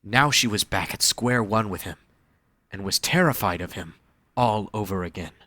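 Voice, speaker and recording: A man's voice: lowish voice, lively intonation, moderate pace, sharp tone, no room sound. A man reading scripted story narration as the narrator of an audio drama.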